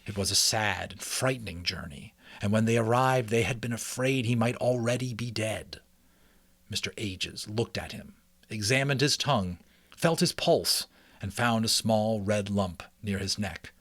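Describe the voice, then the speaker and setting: A man narrating a children's novel as a dramatic, expressive audiobook reading. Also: on the low side, a little slow, dry recording. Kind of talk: dramatic children's book reading with chatty asides.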